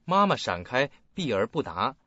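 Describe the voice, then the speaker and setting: A man narrating a radio drama in an expressive, suspenseful, scripted style. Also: mid-range voice, normal speed, a little hiss or hum. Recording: dull lo-fi audio, faint music.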